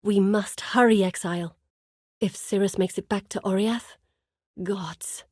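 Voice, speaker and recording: An actress voices a burdened woman explorer from a fantasy video game. Her voice is soft-edged and mellow, recorded very dry and close. She speaks earnestly and with measured, articulate gravity, as a guide who carries heavy responsibility. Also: mid-range voice, steady tone, dry recording, lightly acted. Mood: urgent, worried. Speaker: video game character voice actor. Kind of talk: dramatic fantasy game dialogue.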